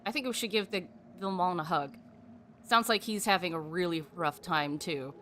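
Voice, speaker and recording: A woman streaming a game, giving casual, halting reactions and musing aloud with little exclamations. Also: lowish voice, a bit bright, slight background noise. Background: game music.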